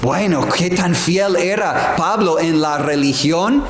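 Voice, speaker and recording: Pastor guy preaching, high voice, long hall reverb, faint room noise. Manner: preaching with emphatic slow stresses and restarts. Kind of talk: sermon. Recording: echoey hall with faint ambience.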